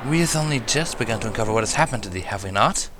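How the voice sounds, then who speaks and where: A man's voice: lowish voice, lively intonation, rapid-fire, music in the background, lightly acted. A man voicing a female alicorn princess who speaks in stern, formal, archaic English. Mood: formal concern. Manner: formal archaic speech with thee and thou.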